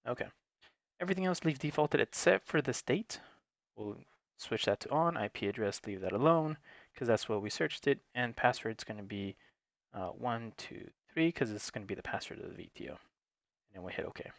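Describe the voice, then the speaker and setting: A man's voice: mid-range voice, lively intonation, fairly quick, gravelly, dry recording. A man giving an unscripted, matter-of-fact, step-by-step tutorial in an instructional way. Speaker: technical support presenter.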